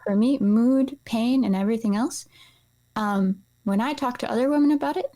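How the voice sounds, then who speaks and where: A woman's voice: on the high side, normal speed, mellow. A woman from Iceland speaking as an interview guest, explaining things in a casual, conversational way. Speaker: guest, nutrition practitioner.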